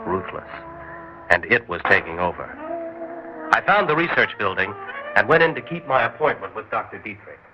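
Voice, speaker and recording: An actor playing a curious male writer who narrates an old radio drama in a calm, thoughtful way. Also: fairly low pitch, close to an everyday voice. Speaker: narrator and lead character, a writer. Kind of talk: 1960s radio drama acting. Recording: dull old radio recording.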